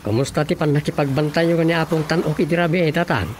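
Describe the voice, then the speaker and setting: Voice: medium pitch, steady tone, fast, close to an everyday voice. An actor playing an inquisitive, puzzled villager in a radio drama comedy, speaking in questioning exclamations. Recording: radio drama with music underneath. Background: clear music.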